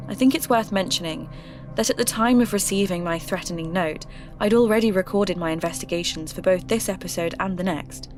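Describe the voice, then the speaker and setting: A woman playing an earnest podcaster who narrates her eerie folklore investigations in a measured, reflective way over music. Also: on the low side, natural voice. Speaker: podcast host. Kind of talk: scripted first-person mystery narration.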